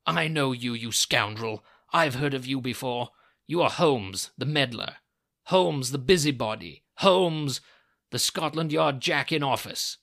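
Casting: man to play menacing old brute of a doctor for an audiobook, furious, shouting, hard-edged forceful delivery. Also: medium pitch, expressive, normal speed, gravelly, few pauses. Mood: menacing bluster. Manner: snarling, shouting threats. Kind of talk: dramatized audiobook reading.

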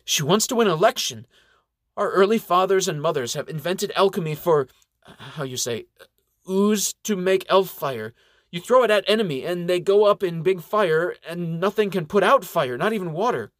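A man's voice: high voice, expressive, normal speed, dry recording, clean studio sound, voice pushed up. A man reading a storybook voices a worried young female fire elf who speaks broken English with a foreign lilt. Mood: earnest, anxious.

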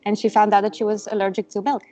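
A woman from Belgium, a native Dutch speaker, talks easily as an interview guest, with frequent fillers and little laughs. Her voice sits at a middle pitch. The sound comes through a dull, lo-fi video call. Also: little pitch movement, fast talker, warm tone. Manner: chatty, laughs often, many fillers.

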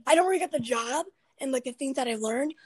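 A raspy, husky young woman with a high voice, chatting on her podcast. She rambles through her stories, full of fillers. The sound is dry and close. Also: expressive, brisk, darkish tone, no echo. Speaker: podcast host. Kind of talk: casual chat.

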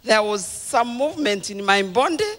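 A woman's voice: mid-range voice, animated, moderate pace, a bit bright. A woman, a congregant, giving a short spoken testimony at a church healing service. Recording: echoey church hall.

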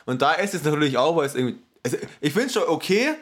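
Lively, laughing male podcast co-host. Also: on the high side, rapid-fire. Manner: laughs often, animated storytelling. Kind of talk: casual chat.

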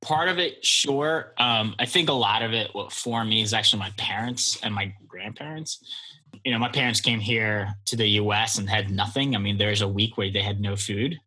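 A man from Poland talks as a guest in a casual interview. His voice is fairly low, husky and very bright, and he rambles in a relaxed way with lots of fillers. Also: moderate pace, low-quality recording. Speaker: guest entrepreneur.

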